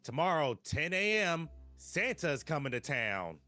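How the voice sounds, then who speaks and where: Man playing a sharp, bossy store manager. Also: a little high, very animated, a little slow, soft background music, heavily acted. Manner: curt, bossy, exasperated. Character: hard-ass, grumpy male department store elf manager. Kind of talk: live table read of a comedy film script.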